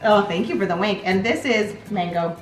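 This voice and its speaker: A woman's voice: medium pitch, normal speed, echoey room, background noise. A woman, chatting in a sing-song way with young children. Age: 30s. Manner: sing-song, talking to little kids and dog. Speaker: mom in a family vlog. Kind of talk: casual chat with young children.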